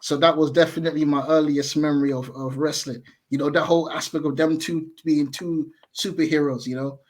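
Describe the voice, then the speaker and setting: A man's voice: on the high side, fairly even intonation, fairly quick. A man in his thirties, a podcast guest chatting over a video call, thinking aloud and correcting himself as he goes. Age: 30s. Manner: thoughtful, hedging, self-correcting.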